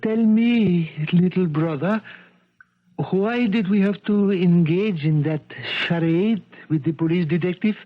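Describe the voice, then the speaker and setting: A genial foreign prince with a strikingly high voice for a male. He is exclamatory, effusively polite and naively delighted, in vintage radio drama style on a muffled broadcast recording. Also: measured pace. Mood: puzzled, plaintive. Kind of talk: 1970s radio drama acting. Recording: dull lo-fi 1970s radio broadcast.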